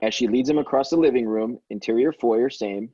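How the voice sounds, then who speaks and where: A man's voice: mid-range voice, brisk, mellow, husky. A man reading stage directions as the narrator of a scripted table read. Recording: dull, slightly lo-fi sound.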